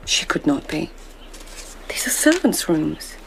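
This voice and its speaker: An actress playing a discreet, composed palace court lady in a radio drama, speaking in a measured, guarded way. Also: sits low, sing-song, creaky, a little hiss or hum, full character voice, low-quality recording.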